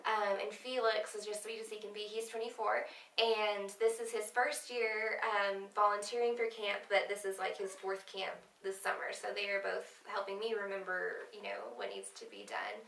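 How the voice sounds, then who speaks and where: A young woman chatting casually about a trip, giggly and quick to chime in. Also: mid-range voice, a bit bright. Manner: giggly, chimes in with short agreements. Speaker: mission trip vlogger. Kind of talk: casual chat. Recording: echoey room, mic at a distance.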